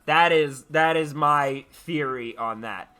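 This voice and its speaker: A man hosting a livestream, sounding tired yet animated, chatting casually and haltingly as he repeats his phrases. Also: fairly high pitch. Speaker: livestream host. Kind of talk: casual rambling chat to viewers.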